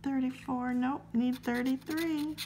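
High, slow-talking woman with scratchy throat. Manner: friendly, calmly reads out numbers. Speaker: lottery ticket scratching vlogger. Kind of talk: casual to-camera chat while scratching tickets.

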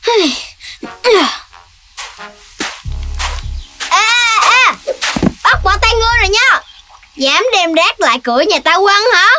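A woman voicing a cheeky, excitable little animal child in a children's cartoon, whiny and teasing with lots of exclamations. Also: very high-pitched, unhurried, exaggerated voice. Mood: accusing. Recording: loud music behind the voice.

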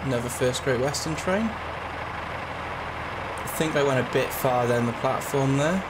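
A young man talks in a rambling, chatty way, full of ums and uhs. His voice is hoarse and a little raspy from a cold, sitting at a middling pitch for a man. Heavy rumbling train-simulator noise runs loudly behind him. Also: moderate pace. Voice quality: slightly raspy. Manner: rambling, chatty, with frequent fillers. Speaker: gaming channel host. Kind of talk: casual commentary over a train simulator.

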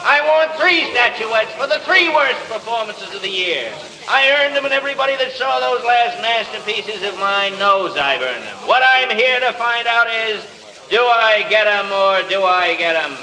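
Casting: bitter, sarcastic drunk has-been movie star, high voice, echoey old radio drama. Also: male voice, animated, moderate pace, distant mic, full character voice. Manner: loud, sarcastic speechifying. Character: drunken, bitter fallen film star interrupting an awards dinner. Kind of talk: 1930s radio acting. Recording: echoey old radio recording, off-mic, crowd behind.

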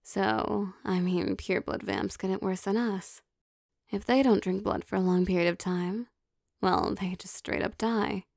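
Creaky, unhurried vampire girlfriend, acted by a woman. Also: mid-range voice, a little mellow, no echo, studio quality. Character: affectionate, teasing, possessive young female vampire girlfriend. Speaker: voice actor. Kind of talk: intimate ASMR roleplay.

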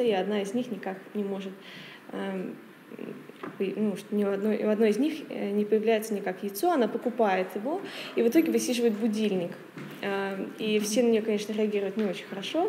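A woman's voice: medium pitch, dark tone. Hesitant young woman presenting in an echoey room. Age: 20s. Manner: hesitant, halting delivery. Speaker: speaker presenting a paper. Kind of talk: academic conference presentation. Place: wood-panelled library meeting room, indoors. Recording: echoey room with long reverb, faint noise.

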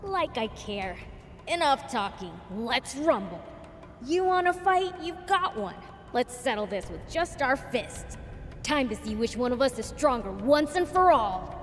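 A cocky little demon boy voiced by a woman spoiling for a fight in a video game. The voice is high, brash and taunting. It echoes in long reverb over faint music. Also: sing-song, measured pace. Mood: cocky, combative. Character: small cocky, hot-tempered boy demon prince.

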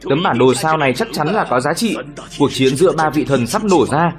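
A man doing voice-over dubbing for an animated film. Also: a little high, brisk. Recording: loud music behind the voice.

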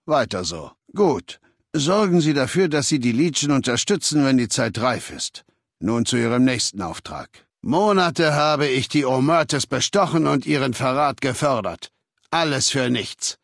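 Imperious male warlord, video game dialogue, medium pitch, dry close sound, commanding, haughty, cold. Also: sing-song, a little slow, creaky, dry recording, heavily acted. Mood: haughty, authoritative. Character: imperious, cold warlord dictator of a slaver legion.

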